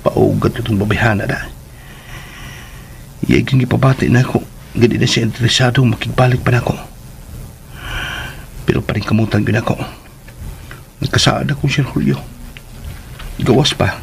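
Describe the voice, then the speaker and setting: A man's voice: medium pitch, normal speed, gravelly, long pauses, a little hiss or hum, lightly acted. An actor with a Filipino Cebuano accent playing a lovelorn, cheerful young man who chats expressively in a radio drama. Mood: playful, musing. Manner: expressive, chatty, talking to himself.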